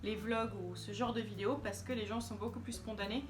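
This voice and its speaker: A woman's voice: mid-range voice, steady tone, a little fast, music in the background. A woman vlogger talking casually to camera, chatty and hesitant with many fillers.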